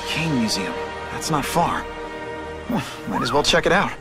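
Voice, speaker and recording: A man's voice: a little high, sing-song, moderate pace. A man playing a quippy, earnest young superhero in a video game, with an American accent. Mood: wry, determined. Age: young adult. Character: wisecracking young male superhero, earnest and caring. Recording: loud background music.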